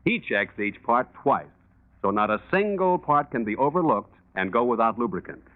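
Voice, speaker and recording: Animated 1940s American radio announcer, tinny sound. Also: male voice, medium pitch, normal speed. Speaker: radio announcer and sponsor pitchman. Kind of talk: 1940s radio advertising, scripted.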